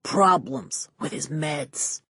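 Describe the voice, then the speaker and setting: A woman's voice: sits low, slow pace, vocal fry, fairly bright tone, breathy edge, dry recording, full character voice. A woman voicing a gushing, shifty male film studio boss in an audiobook, effusive and slangy in a confiding way.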